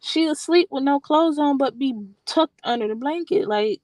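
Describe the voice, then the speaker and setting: A woman with a soft, quiet voice, sounding tired and a little stuffy. She rambles in loose, restarting sentences. Her voice is dry and close, with no room around it. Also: high voice, normal speed, dry recording. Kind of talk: casual late-night chat.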